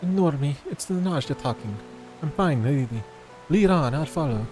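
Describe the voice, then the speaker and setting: A man's voice: a little high, expressive, normal speed, darkish tone, faint music behind, lightly acted. A man voicing a proud, weary young woman with a claim to a throne in a fantasy game. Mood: defiant, weary.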